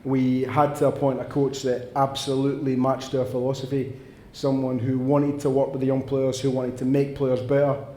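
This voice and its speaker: A Scottish man speaks on a panel at a fans' event, earnest and long-winded. His voice is dark and mellow and keeps to a narrow pitch range. The room is echoey, with a faint noise behind him. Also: monotone, moderate pace. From Scotland. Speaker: football director on a panel. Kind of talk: panel answer at a fans' forum.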